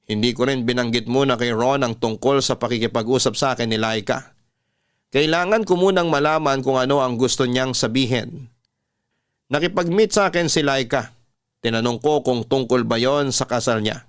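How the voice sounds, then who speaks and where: A man's voice: mid-range voice, clear, resonant tone, no room sound, studio quality. A man with a Filipino accent narrating a scripted first-person story as a radio drama host.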